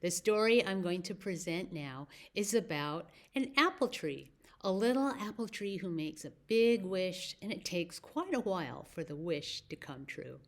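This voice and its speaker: A woman with an American accent hosting a children's story time, speaking deliberately as if to young listeners. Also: on the low side, lively intonation. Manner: slow, deliberate phrasing with questions to young listeners. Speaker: library story time host.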